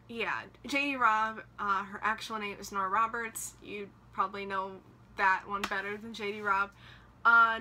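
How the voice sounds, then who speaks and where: Bright-voiced young woman rambling about books. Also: mid-range voice, a little hiss or hum. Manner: rambling, chatty, lots of fillers. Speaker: book vlogger. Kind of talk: casual chat to camera. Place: small room in front of bookshelves, indoors.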